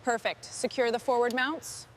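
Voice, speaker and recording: A woman's voice: a little high, steady tone, moderate pace, a little hiss or hum. A woman speaking as a garage mechanic, giving terse procedural call-outs in a businesslike, instructional way.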